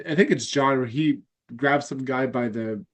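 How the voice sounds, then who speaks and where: A man's voice: mid-range voice, normal speed. A man hosting a podcast, congested and nasal, talking in a rambling casual way with lots of fillers and restarts. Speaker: podcast host. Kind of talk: casual fan chat.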